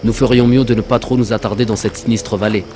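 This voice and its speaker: A man's voice: fairly low pitch, steady tone, fast, darkish tone, a little hiss or hum, natural voice. A man voicing a greedy, cowardly traveling companion in a religious cartoon, given to sly muttered asides and jittery protests. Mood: defensive, uneasy. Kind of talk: dubbed religious cartoon acting. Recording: music under the voice.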